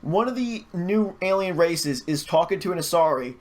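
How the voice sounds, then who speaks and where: A man's voice: high-pitched, lively intonation, moderate pace. A man chatting casually and giving opinions, repeating words as he goes and swearing freely. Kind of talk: casual opinionated chat about gaming.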